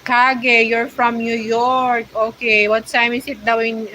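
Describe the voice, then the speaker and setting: A woman's voice: on the high side, level tone, slow pace, bright, forceful tone, a little hiss or hum, low-quality recording. A woman from the Philippines with a Filipino accent, chatting casually on her livestream and reading viewer comments in hesitant English full of fillers. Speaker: vlogger hosting a livestream.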